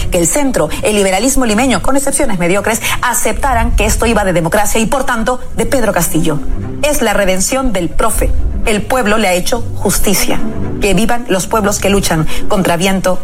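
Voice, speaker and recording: Fast impassioned Peruvian woman over music. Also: mid-range voice. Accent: Peruvian Spanish. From Peru. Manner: impassioned, rhetorical, rallying. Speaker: political commentator. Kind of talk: scripted political editorial over music. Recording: music bed underneath.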